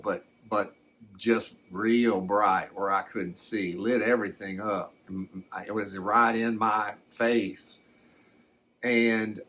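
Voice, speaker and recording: A man plays a folksy fellow telling his own strange tale for ASMR. His voice sits at an ordinary male pitch and moves very slowly. He rambles plainly, halting and restarting as he recollects. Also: fairly bright tone. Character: folksy man recounting his alien abduction. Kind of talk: unhurried ASMR first-person storytelling.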